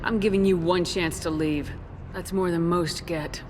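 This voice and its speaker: An actress playing a steady, direct female military commander in a video game, blunt and controlled. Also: lowish voice, measured pace, a little hiss or hum, lightly acted. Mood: firm, probing. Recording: long reverb, music behind.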